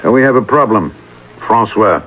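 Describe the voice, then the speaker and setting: An actor playing an obsequious, excitable schemer in an old-time radio drama, fawning and hesitant. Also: male voice, fairly low pitch, measured pace, slightly rough, heavily acted, rough recording. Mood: flustered. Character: obsequious, flustered male schemer covering up a hoax. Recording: vintage radio drama recording.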